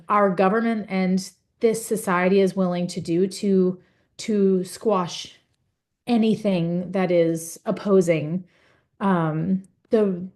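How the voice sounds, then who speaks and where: A young woman speaking on a webinar panel over a video call, earnest and halting, with many fillers and restarts. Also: mid-range voice, a little mellow. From United States. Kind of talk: webinar testimony.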